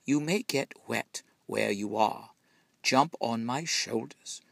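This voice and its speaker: A sly, well-mannered fox from a storybook, read by a man. He coaxes politely in a gravelly, husky voice, recorded dry. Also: mid-range voice, slow, fairly bright tone, no room sound, exaggerated voice. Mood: coaxing. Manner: polite, coaxing. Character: sly polite fox luring his prey.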